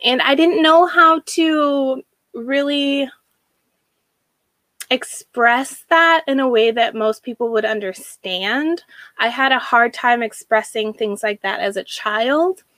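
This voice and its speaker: American female, lightly raised pitch, slow halting delivery that leans on repeated phrases, for a personal reflective monologue. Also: fairly bright tone, no room sound. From Washington state, United States. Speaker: speaker sharing a personal story.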